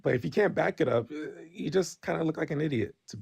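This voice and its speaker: A man's voice: fairly high pitch, animated, fast, vocal fry, breathy edge, dry recording. A Nigerian-born man, talking bluntly in a casual podcast debate and tagging his points with "right?". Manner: candid, blunt, frequent restarts and "right?" tags.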